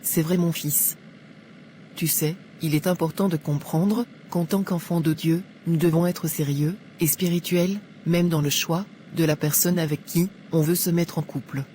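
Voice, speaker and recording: Woman acting a devout, gently counselling mother. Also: deep voice, a little hiss or hum, lightly acted. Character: devout, proud middle-aged mother giving gentle counsel.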